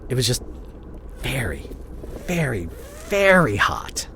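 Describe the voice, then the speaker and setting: A man acting in an audio roleplay as a guilt-ridden disgraced CEO boyfriend, speaking to his partner in a halting, emotional way. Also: mid-range voice, huge pitch swings, heavily acted. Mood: flustered, defensive. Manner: halting, emotional, repeats and breaks off words. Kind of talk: dramatic audio roleplay monologue addressed to the listener. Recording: clear vehicle noise behind the voice. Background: car ambience.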